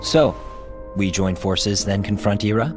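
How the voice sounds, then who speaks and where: An actor playing a blunt, skeptical comrade in an animated game film, dry and detached in manner. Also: male voice, lowish voice, measured pace, over music, lightly acted. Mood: curt, skeptical.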